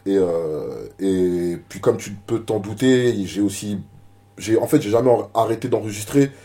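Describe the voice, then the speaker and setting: A man's voice: on the low side, lively intonation, unhurried, dark tone. A rapper being interviewed, talking casually and often repeating words while he searches for them. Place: media office.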